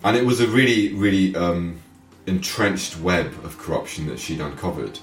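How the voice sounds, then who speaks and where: Very deep-voiced man in a roomy space. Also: moderate pace. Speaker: podcast host. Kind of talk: podcast narration. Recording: roomy with faint music. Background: faint music.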